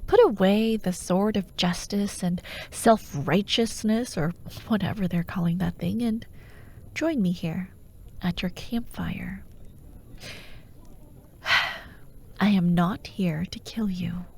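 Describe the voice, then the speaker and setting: A woman acts out a female dark warlord haunting a dream in a fantasy ASMR roleplay. Her voice is breathy and creaky, often sinking to a whisper. She speaks slowly, with sardonic, theatrical taunting. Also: medium pitch, exaggerated voice. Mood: coaxing. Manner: wry, taunting, theatrical, unhurried.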